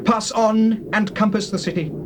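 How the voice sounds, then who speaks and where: An actor playing an earnest Israelite military leader in a scripture drama, declaiming formally with a projected voice. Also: male voice, sits high, fairly even intonation, moderate pace, close to an everyday voice. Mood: urgent command. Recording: noisy old cassette.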